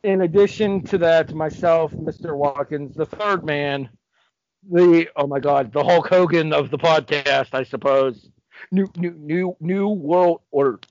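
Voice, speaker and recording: A man with a fairly high voice co-hosts a casual podcast. He teases and cuts in readily, keeping up loose banter. The sound is a little dull. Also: a little slow, a little mellow, dry recording. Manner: interjecting, teasing. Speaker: podcast co-host.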